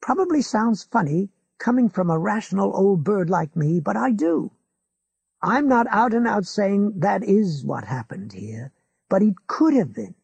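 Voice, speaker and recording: An older man presses his friend with insistent, lecturing reasoning, as a reader puts him on. His voice sits raised and husky, with a gravelly edge. It is close and dry. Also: mid-range voice, big pitch swings, unhurried, darkish tone, no echo, pitched above the performer's own voice, exaggerated voice. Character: older man, pushy rational neighbor. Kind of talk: audiobook character voice.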